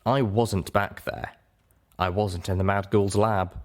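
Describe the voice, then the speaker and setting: A man narrating a story in the first person with an expressive, theatrical, dramatic delivery. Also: lowish voice, takes it slowly. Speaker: audiobook narrator.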